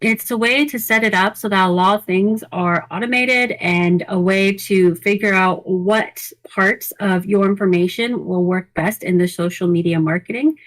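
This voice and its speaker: A woman's voice: mid-range voice, moderate pace, few pauses, low-quality recording. A woman co-hosting a podcast, running through news items in casual, conversational talk. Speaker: podcast co-host.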